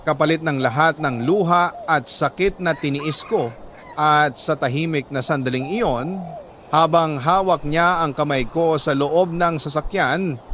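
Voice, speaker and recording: A Filipino man with a fairly high voice narrates a heartfelt letter in the style of a radio storyteller. His phrasing is earnest and deliberate, with dramatic pauses. There is some noticeable ambient noise behind the voice. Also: a bit bright.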